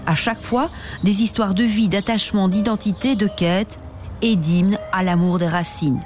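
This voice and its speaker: Woman reporter, scripted narration, measured delivery, faint music underneath. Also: medium pitch, steady tone, darkish tone.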